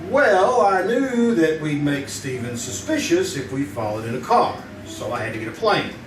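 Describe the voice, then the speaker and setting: A man's voice: on the high side, very animated, moderate pace. Man playing a wry radio-drama newsman, roomy and distant. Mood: brisk explaining. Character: quick-witted male newspaper crime photographer, confident, wry. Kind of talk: 1940s radio drama acting. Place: live theater stage. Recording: roomy, distant stage recording with music.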